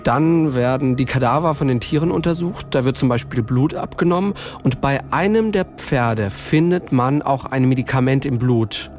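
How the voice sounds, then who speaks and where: Male true-crime host, average pitch, scripted case storytelling over audible music. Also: normal speed, hardly any pauses, darkish tone. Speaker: podcast host. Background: music bed.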